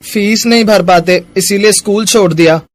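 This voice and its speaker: Man playing high-voiced earnest poor schoolboy. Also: moderate pace, dry recording, lightly acted. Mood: earnest, troubled. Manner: earnest, polite. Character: poor, grateful ten-year-old schoolboy. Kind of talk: animated moral story dialogue.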